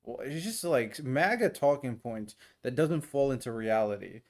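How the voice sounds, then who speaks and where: A man's voice: mid-range voice, lively intonation, moderate pace, darkish tone. A man hosting a reaction video, ranting bluntly and dismissively in casual, profane commentary. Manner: blunt, dismissive, swears, says "bro" a lot.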